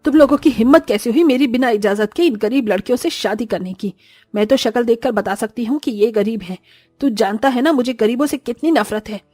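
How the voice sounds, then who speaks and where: A woman's voice: a little high, brisk, dark tone, dry recording, studio quality, soft background music, natural voice. A woman voicing a haughty, scornful older rich mother-in-law who scolds and orders people about in a moral-story cartoon. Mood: outraged. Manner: scolding, imperious.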